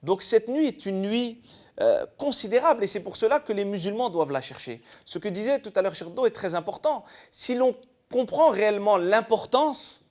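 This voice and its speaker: A man's voice: sits high, animated, moderate pace, a little mellow. A man from eastern France giving an explanatory religious talk as a panel guest, in a didactic way with rhetorical questions.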